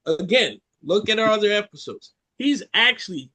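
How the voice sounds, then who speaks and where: A man's voice: sits high, normal speed. A man with a Jamaican accent, co-hosting a casual podcast chat, joking and acting out quotes as he tells stories. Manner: animated storyteller, joking, acts out quotes. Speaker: podcast co-host.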